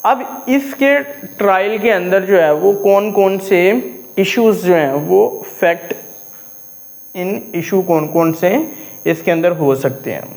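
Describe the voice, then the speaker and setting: Slow, high-voiced male law lecturer. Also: a little mellow, rough recording. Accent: Pakistani. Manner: explains step by step, often checks in with "okay"; reads legal English phrases slowly and deliberately.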